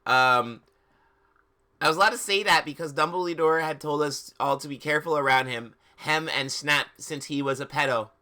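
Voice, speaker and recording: A man's voice: a little high, moderate pace, sharp tone. A man hosting a livestream dramatic reading, mocking the text in incredulous, exaggerated asides. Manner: theatrical, incredulous, exaggerated emphasis. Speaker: livestream host reading fan fiction aloud.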